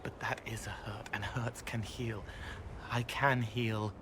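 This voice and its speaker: An eerie, gentle spirit in the form of a young man in a fantasy game. He speaks slowly and softly in halting, poetic phrases, with clear ambient noise behind. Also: on the low side, fairly even intonation. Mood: pained, hushed. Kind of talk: video game character acting. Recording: clearly noisy ambient background.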